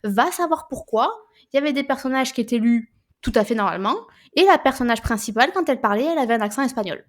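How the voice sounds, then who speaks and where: A woman, co-hosting a casual book podcast, animated and often repeating words as she searches for them. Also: a little high, quick pace. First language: French. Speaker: podcast co-host.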